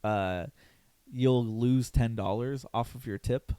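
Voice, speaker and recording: A hoarse man hosting a podcast in loose, rambling casual chat, full of fillers and swearing. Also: mid-range voice, fairly even intonation, slow, dark tone, dry recording. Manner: rambling, loose and profane, with lots of fillers and laughs. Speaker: podcast host.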